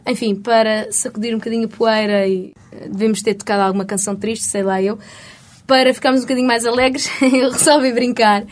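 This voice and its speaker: A woman's voice: a little high, steady tone, fairly quick. Portuguese woman singer telling stories. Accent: European Portuguese. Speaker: singer in a music group. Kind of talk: casual interview chat.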